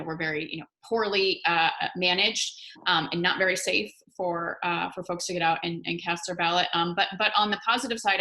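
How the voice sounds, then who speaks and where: A woman with an American accent hosts a conversational interview. Her voice sits a little low for a woman, yet it is clearly bright and forward as she lays out her questions. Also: low-quality recording. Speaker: interviewer and event host.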